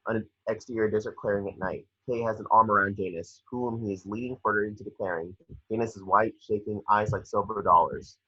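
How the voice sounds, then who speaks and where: A man's voice: on the low side, fairly even intonation, fairly quick, pushed and bright, husky, dull audio. A man narrating action descriptions at a script read-through, matter-of-fact and sometimes stumbling over words.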